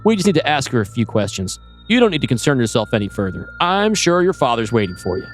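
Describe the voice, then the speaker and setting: A man voicing an older police chief in an audio drama, courteous, measured and politely evasive. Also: mid-range voice, big pitch swings, soft background music, close to an everyday voice. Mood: placating. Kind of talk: audio drama table-read acting.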